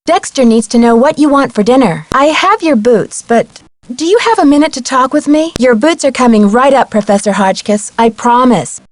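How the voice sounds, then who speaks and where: A woman's voice: a little high, animated, normal speed, no echo, lightly acted. A woman playing a polite, inquisitive young female amateur detective in scripted video game dialogue. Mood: polite, friendly. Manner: courteous, inquisitive, clearly enunciated.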